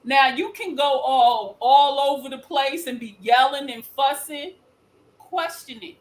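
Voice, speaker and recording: High-voiced woman explaining in an echoey room. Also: measured pace. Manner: explanatory, giving examples. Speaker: panelist. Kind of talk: casual panel discussion.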